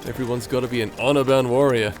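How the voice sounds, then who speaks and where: A man's voice: medium pitch, steady tone, fast, over music, lightly acted. A man playing an irreverent, sly rogue companion in an ASMR audio roleplay, with a casual, wry way of talking.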